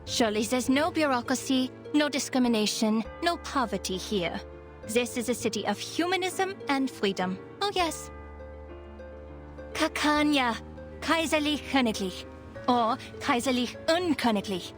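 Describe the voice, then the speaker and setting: An actress playing a proud, eloquent psychiatrist with a sardonic, theatrical way of talking in a video game. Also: female voice, high voice, lively intonation, a little slow, soft background music. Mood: bitterly sarcastic. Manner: eloquent, grandly rhetorical, sarcastic.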